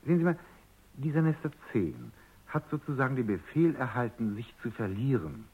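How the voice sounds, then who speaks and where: A muffled radio drama recording of an urbane male scientist. His voice is husky and medium-pitched, and he speaks in long, polished phrases with an easy, patronizing joviality. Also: animated, normal speed. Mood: relaxed, reassuring. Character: urbane, amused, self-assured male scientist, somewhat condescending. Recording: dull, band-limited radio recording.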